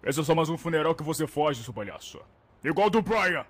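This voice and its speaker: A high, husky voice with big swings in pitch, a man acting an angry gang-leading older brother in an amateur game dub. He is commanding, confrontational and full of street slang. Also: big pitch swings, brisk, a bit bright, full character voice. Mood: angry, scolding.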